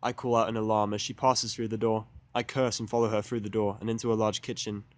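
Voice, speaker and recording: A man's voice: lowish voice, flat delivery, fast. A man reading scripted first-person fan fiction as the narrator of an audio drama.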